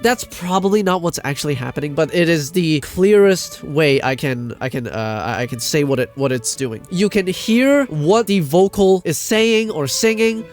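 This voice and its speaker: A man's voice: a little high, normal speed, few pauses, soft background music. A man giving a casual ranting commentary as a video host, exasperated, emphatic and sweary, often repeating himself for stress.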